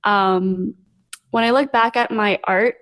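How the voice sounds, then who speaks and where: A woman's voice: mid-range voice, fairly even intonation, slow pace, fairly bright tone. A young woman from Los Angeles, an artist guest on a podcast, speaking hesitantly with many fillers and small laughs. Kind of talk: casual interview chat.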